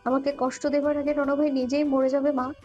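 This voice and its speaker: Lady doing a young girl in love, very high voice, pretty even, trusting, audio drama. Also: fairly quick, lightly acted. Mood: earnest, resolute. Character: lovestruck, trusting young woman talking to family. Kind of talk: audio love story acting. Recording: dull lo-fi voice, music behind.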